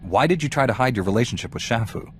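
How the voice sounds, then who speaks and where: A man's voice: mid-range voice, animated, quick pace, faint music behind, natural voice. An actor voicing a principled male Jedi knight in a video game, dry and level in tone. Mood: steady. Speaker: player character.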